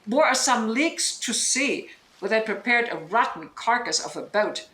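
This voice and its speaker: A woman's voice: fairly high pitch, animated, normal speed, pushed and bright. A woman playing a bitter, scholarly deposed duchess and sorceress mother in Shakespearean audio drama, speaking in a declamatory, emphatic way that demands attention. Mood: grave, intent. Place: indoor room, recorded over internet link. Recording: roomy remote recording, noise.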